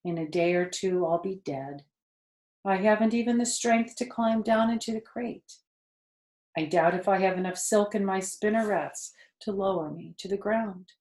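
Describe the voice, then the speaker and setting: A woman voicing a tired, wise, motherly female spider in a children's storybook, speaking calmly and gently in a measured way. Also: medium pitch, echoey room, close to an everyday voice. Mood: resigned. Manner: calm, gentle, measured, matter-of-fact. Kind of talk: children's storybook character reading.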